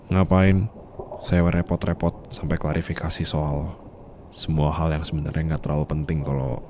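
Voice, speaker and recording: A man's voice: sits low, gravelly, dark tone. A man playing a teasing, possessive boss in love with an employee, in an intimate romantic ASMR roleplay. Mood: earnest. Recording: noticeable background noise, ambient sound effects.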